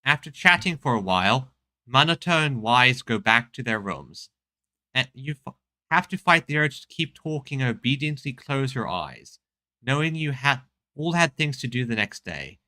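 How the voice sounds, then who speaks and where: A game streamer giving casual, halting commentary, often restarting thoughts. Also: medium pitch, big pitch swings, pushed and bright.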